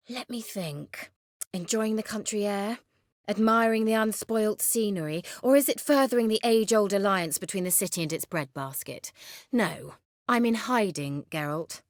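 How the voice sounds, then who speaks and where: A haughty young sorceress from a fantasy game, acted by a woman. Her speech is teasing and sardonic, with a very animated, expressive pitch. The sound is dry, with no room around it. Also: no echo, close to an everyday voice. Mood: mocking, teasing, then grave, indignant. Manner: haughty, teasing, biting sarcasm. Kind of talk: video game character dialogue.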